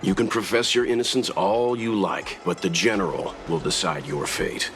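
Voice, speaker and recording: An actor voicing a grave, steady middle-aged man in a video game, stern and authoritative. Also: sits low, moderate pace, a little hiss or hum.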